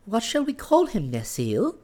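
Male reader as a fairy queen of nymphs, high-pitched, swooping, light, courtly, playful, storybook. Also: wildly swooping pitch, moderate pace, mellow.

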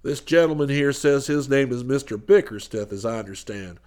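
A man's voice: medium pitch, animated, moderate pace, darkish tone, pitched below the performer's own voice, lightly acted. A man voicing a stout, stubborn American small-town businessman in an audiobook, blunt, suspicious and matter-of-fact.

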